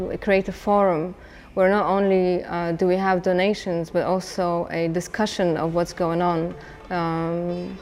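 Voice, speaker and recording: Palestinian-born woman artist, low even delivery, hesitant interview talk, slight room noise. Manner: hesitant, many fillers and restarts. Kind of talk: documentary interview.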